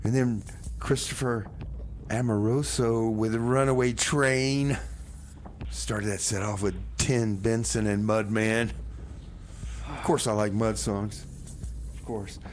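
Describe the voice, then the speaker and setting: A man's voice: fairly low pitch, fairly even intonation, slow, long pauses. A man hosting a community radio music show, talking casually in a rambling, repetitive way with comic banter. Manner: rambling, repeats phrases, says "man" casually. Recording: noticeable background noise, ambience.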